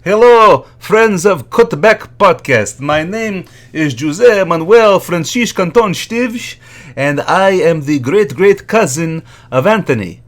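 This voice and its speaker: A man's voice: high voice, lively intonation, unhurried. A man playing a chatty, clueless Azorean Portuguese immigrant with a Portuguese accent and broken English, laughing often as he gets sidetracked. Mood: cheerful. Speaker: podcast guest. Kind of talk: comedy character monologue.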